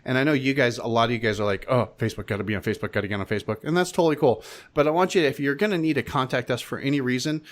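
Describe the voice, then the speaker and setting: A man's voice: medium pitch, racing, hardly any pauses. A man hosting a podcast in an informal, wry, rambling way, chatting casually and reading out plugs. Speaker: podcast host.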